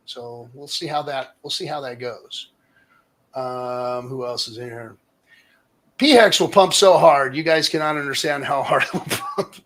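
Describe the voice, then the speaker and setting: A man's voice: mid-range voice, a little slow, a bit bright, a little hiss or hum. A man hosting a casual livestream, chatting with rambling asides and reading out comments from the chat. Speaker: livestream host.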